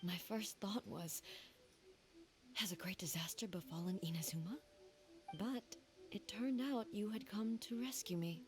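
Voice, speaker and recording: Brief: stately, soft-spoken ruler, voiced by a woman, formal and restrained, for a game. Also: mid-range voice, soft background music, lightly acted. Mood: grateful. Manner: formal, measured, quiet. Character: solemn, composed female ruler.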